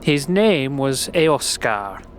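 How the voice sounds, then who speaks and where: A man's voice: mid-range voice, big pitch swings, drawn-out words, slight background noise. An actor playing an earnest, hopeful man of a grim fantasy slum, speaking in a friendly, confiding way for an audio drama. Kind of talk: audio drama character acting.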